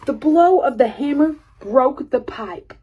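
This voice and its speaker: A woman reading a children's picture book aloud as an expressive storyteller. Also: high voice, measured pace, muffled recording, a little hiss or hum.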